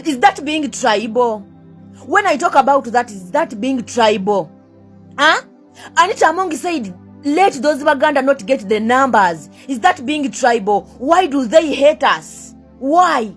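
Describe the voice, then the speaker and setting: A high-voiced young Ugandan woman with a Ugandan accent rants straight to camera. She hammers the same questions again and again, mixes two languages and curses at her critics. Faint music plays underneath. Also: very animated, measured pace. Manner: heated, repeats rhetorical questions, switches between two languages; swears and sneers at critics. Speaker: vlogger. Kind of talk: angry rant to camera.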